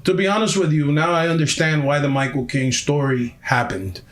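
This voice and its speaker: A man's voice: on the high side, brisk, echoey room. A man in his forties from Miami, a podcast guest telling his story bluntly and emphatically, repeating himself and swearing. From Miami, USA. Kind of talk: candid storytelling interview.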